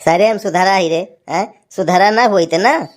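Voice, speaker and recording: A woman's voice: medium pitch, normal speed, close to an everyday voice. A woman voicing a grumbling, teasing village husband in a cartoon comedy, with an Awadhi accent and a folksy, scolding manner. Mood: exasperated.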